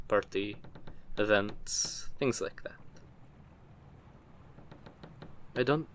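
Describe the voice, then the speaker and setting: Audio roleplay: male actor for a low-pitched, gruff mercenary, teasing and protective, hesitant when opening up; faint noisy ambience. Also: a bit bright. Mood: wistful, hesitant. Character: gruff, guarded captured mercenary with a soft side. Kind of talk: intimate audio roleplay acting.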